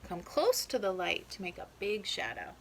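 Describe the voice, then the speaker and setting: A woman's voice: medium pitch, wildly swooping pitch, moderate pace, creaky, slight background noise. A woman teacher reading a children's storybook aloud, instructive, with explanatory asides that check in with young listeners.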